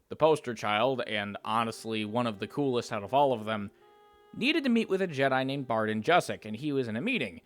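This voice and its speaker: A man's voice: a little high, fairly bright tone, dry recording. A man giving casual lore commentary as a video host, speaking informally and emphatically with opinionated, slangy phrasing. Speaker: video essayist, channel host. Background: background music.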